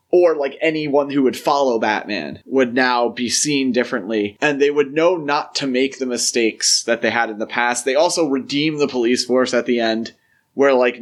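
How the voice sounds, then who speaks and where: Casually spoken man chatting about film. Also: mid-range voice. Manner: informal, peppers speech with "like". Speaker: podcast co-host.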